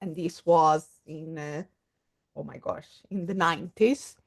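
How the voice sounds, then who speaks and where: A middle-aged woman from Portugal with a Portuguese accent, talking through her ideas thoughtfully in a casual interview and often pausing on fillers. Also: low-pitched, fairly even intonation. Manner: thoughtful, searching for words with many fillers. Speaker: guest psychologist and coach.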